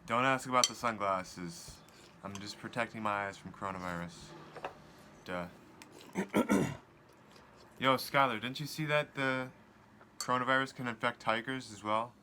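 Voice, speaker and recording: A man's voice: medium pitch, a little slow, long pauses, pushed and bright. A young man playing a paranoid, sardonic student with a casual manner in a mockumentary. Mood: smug, paranoid. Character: paranoid, sardonic student conspiracy theorist in sunglasses. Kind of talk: acted mockumentary. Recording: faint background noise.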